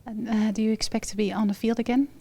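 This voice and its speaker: A woman's voice: fairly high pitch, flat delivery, quick pace, mellow. A woman interviewing a football player, asking short, direct questions.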